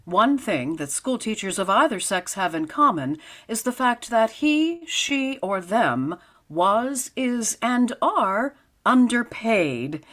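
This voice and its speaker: A woman playing a wry, lovelorn high school English teacher, narrating dryly in vintage radio comedy style. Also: a bit bright. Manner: dry, deliberate comic delivery.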